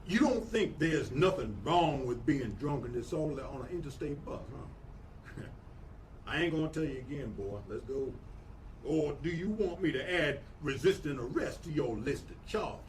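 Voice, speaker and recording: A man acts out a menacing 1940s small-town police chief in a radio-style drama. His Southern drawl sits a little high and swings widely as he taunts and bullies, mock-polite and smug, with faint noise and ambience behind him. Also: lively intonation, normal speed, lightly acted, poor audio. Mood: taunting, threatening. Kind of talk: audio drama acting.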